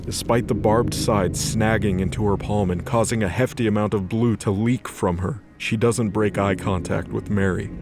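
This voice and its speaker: A man's voice: lowish voice, steady tone, normal speed, few pauses, lightly acted. A man giving scripted third-person narration as the storyteller of an audio drama.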